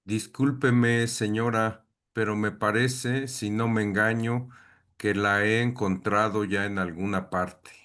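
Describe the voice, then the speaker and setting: A man reading the part of a formal, timid middle-class gentleman aloud from a play script, in a classroom reading. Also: lowish voice, fairly even intonation, slow pace, clean tone. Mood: polite, puzzled.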